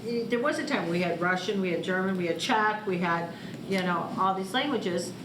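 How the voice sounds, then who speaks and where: Middle-aged woman, board member, mid-pitched voice, public discussion, echoey room, clear background noise. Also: lively intonation, brisk. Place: large meeting room.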